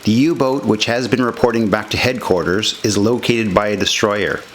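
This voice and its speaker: A man's voice: on the low side, steady tone, normal speed, very noisy. A man reading scripted documentary narration as the narrator of a historical film. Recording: music under the voice. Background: music.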